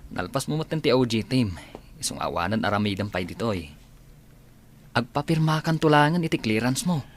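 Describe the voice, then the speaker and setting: A man's voice: medium pitch, expressive, moderate pace, a little hiss or hum, close to an everyday voice. An actor playing a practical young college man in a radio drama, speaking with a Filipino accent. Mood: friendly, inquiring. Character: Tindo, practical young male college friend. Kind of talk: Ilocano radio drama acting.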